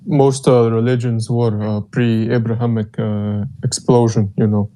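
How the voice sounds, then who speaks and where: A man's voice: medium pitch, flat delivery, warm tone, a little hiss or hum. Man with an Albanian accent. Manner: hesitant, many "uh" pauses, lecturing on history. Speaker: participant. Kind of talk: casual online discussion. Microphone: online audio chat.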